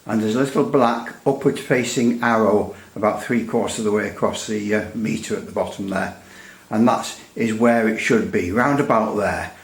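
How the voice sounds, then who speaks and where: A man's voice: on the low side, moderate pace, gravelly. A man gives a casual, unscripted technical demonstration in a chatty, rambling, hesitant way with dry humour. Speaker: radio hobbyist presenting a repair demonstration. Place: indoors at a workbench. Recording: echoey room.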